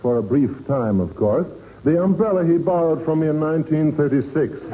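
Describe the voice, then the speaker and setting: Old radio comedy, male actor as fussy pompous gentleman, highish voice, flowery formal delivery, dry wit, tinny recording. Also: moderate pace, lightly acted. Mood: dryly miffed. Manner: flowery, formal, mock-courteous. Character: pompous, fussy gentleman neighbour, dryly witty. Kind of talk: 1940s radio comedy acting. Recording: narrow-band 1940s radio, faint noise.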